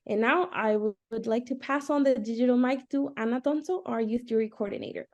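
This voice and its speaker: A woman's voice: fairly high pitch, moderate pace, a little mellow, muffled recording. A woman who is a festival managing director, opening an online panel in an upbeat, formal announcing manner over a video call. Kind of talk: scripted event introduction.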